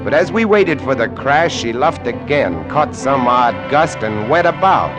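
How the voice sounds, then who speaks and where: A man's voice: mid-range voice, big pitch swings, a little slow, music in the background. An actor playing a lighthouse keeper who tells his sea tale vividly and dramatically, in old radio drama style. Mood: tense, suspenseful. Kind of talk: golden-age radio drama narration. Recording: old radio recording with musical underscore.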